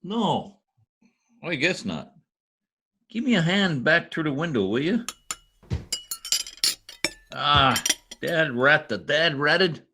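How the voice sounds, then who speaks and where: Male actor as stubborn small-town husband, exclamatory, slangy, old-time radio comedy, very animated, raised pitch. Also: takes it slowly, long pauses, slight background noise, full character voice. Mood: proud, sheepish.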